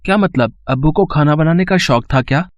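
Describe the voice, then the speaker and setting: A man's voice: on the high side, a little fast, dry recording, lo-fi sound, lightly acted. A man voicing an innocent young son in a cartoon story, childlike, earnest and curious. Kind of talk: cartoon moral story acting.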